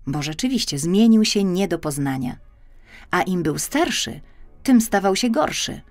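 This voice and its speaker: A woman reading a scripted children's story as an audiobook narrator, with expressive, deliberate phrasing. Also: fairly low pitch. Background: music.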